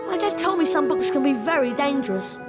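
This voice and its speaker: An excitable English schoolboy in a video game. The voice is high and lively, chatty and down-to-earth, with loud music behind it. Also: brisk. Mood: excited, chatty. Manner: chatty, colloquial.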